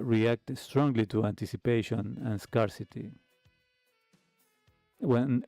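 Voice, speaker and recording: Slow-talking man hosting a reflective show. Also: medium pitch, warm tone, dry recording. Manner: hesitant, halting phrasing with pauses. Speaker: host of a retro radio talk show. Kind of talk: reflective livestream monologue to listeners. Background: background music.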